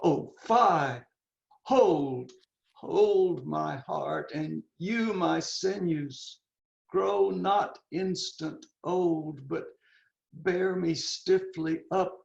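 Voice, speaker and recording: Man playing a brooding, very slow Shakespearean prince. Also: a little high, creaky, darkish tone, heavily acted. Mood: anguished, solemn. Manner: declamatory, halting, dwelling on words. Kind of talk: Shakespearean dramatic reading. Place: indoors on a video call. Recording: roomy, echoing, muffled video call audio.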